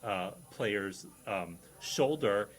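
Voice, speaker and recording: A man in casual comic banter as a panel contestant, speaking in a halting, hesitant way. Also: on the low side, expressive. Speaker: comedy panelist playing a student.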